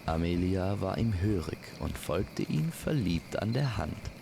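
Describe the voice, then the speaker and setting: Guy telling a fairy tale, very low creaky voice, crickets faintly behind. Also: lively intonation, unhurried, noisy background. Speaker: storyteller. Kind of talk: scripted fairy-tale narration.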